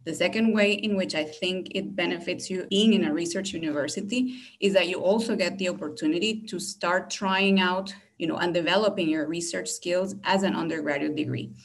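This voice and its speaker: A woman's voice: fairly low pitch, brisk, few pauses. A woman with a Venezuelan accent, a native Spanish speaker, giving an informative promotional talk as a university recruitment director in an explanatory manner. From Caracas, Venezuela.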